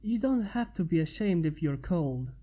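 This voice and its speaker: A woman voicing a shy, polite male pyromancer with quiet, hesitant speech in a storybook reading. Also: low voice, expressive, normal speed, muffled recording, pitched below the performer's own voice. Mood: quiet, gentle.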